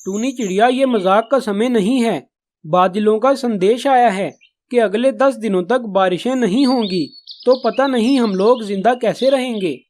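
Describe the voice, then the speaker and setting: A man's voice: high voice, normal speed, a little mellow, a little hiss or hum, close to an everyday voice. Children's cartoon pigeon , male actor: responsible elder brother of the flock, earnest, caring, explanatory. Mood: grave, worried. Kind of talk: Hindi children's cartoon dialogue.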